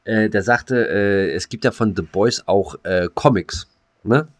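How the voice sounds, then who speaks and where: A man's voice: fairly low pitch, measured pace, no echo. A man co-hosting a podcast in casual chat, rambling with many fillers and restarts. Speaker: podcast co-host.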